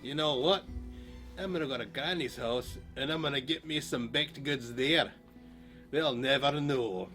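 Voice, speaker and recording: Man doing a big bad wolf, sly, greedy, low slow drawl, storybook, music under. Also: bright, forceful tone. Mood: grumbling.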